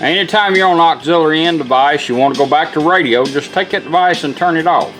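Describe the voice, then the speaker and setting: A man's voice: on the high side, fast, fairly bright tone. A man giving a casual, folksy product demonstration as he walks through the controls of a device. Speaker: radio restorer demonstrating a product. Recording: music playing from a radio behind. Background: radio music with guitar.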